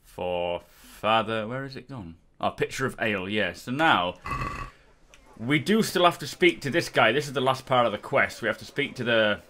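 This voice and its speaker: A man's voice: mid-range voice, lively intonation, normal speed, sharp tone. A man giving casual playthrough commentary as host of a gaming video, sounding slightly tipsy. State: slightly drunk, self-reported.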